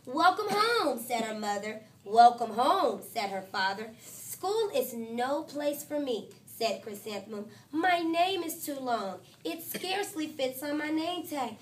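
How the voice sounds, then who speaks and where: A woman teacher reads a picture book aloud in her classroom, with a somewhat high voice. She makes the story lively and expressive, lifting the characters' lines. She sounds a little distant from the microphone. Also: measured pace, few pauses, a little hiss or hum. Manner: expressive storytelling, voices quotes higher and louder.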